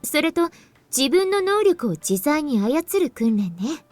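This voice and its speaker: A woman's voice: high-pitched, big pitch swings, moderate pace, a little mellow, no echo, faint music behind. An actress voicing a businesslike young female team organizer in a visual novel, explaining matter-of-factly. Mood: brisk, explaining. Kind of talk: Japanese visual novel voice acting.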